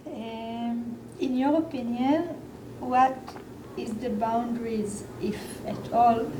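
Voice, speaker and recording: A woman's voice: on the high side, steady tone, slow pace. A woman interviewer asking short, hesitant questions. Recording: distant, roomy, noisy mic pickup.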